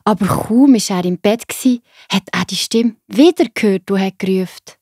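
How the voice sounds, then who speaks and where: A woman with a Swiss accent narrating a story for children in a simple, expressive way. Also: mid-range voice, moderate pace, breathy edge, no echo, clean studio sound.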